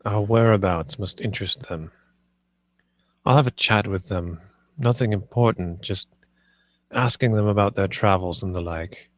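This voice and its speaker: A man's voice: lowish voice, unhurried, dry recording, lightly acted. A man playing a composed, protective lord of the house in a dramatic script read, with understated authority. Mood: measured.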